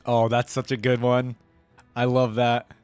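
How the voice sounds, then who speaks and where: A man's voice: mid-range voice, completely flat delivery, a little slow, a little mellow, clear, resonant tone, faint music behind. A man giving casual, slangy reaction commentary as a video host, with appreciative interjections.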